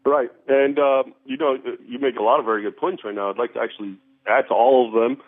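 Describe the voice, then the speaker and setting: A man appearing as a guest expert in an interview, explaining history in a brisk, lecturing way with frequent restarts. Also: mid-range voice, fairly even intonation, dry recording. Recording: tinny phone line.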